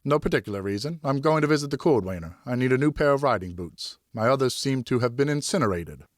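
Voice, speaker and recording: A man voicing an earnest, fussy married French marquis in audiobook dialogue, with an expressive, plaintive manner. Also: medium pitch, quick pace, dry recording, clean studio sound, lightly acted. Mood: polite, pointed.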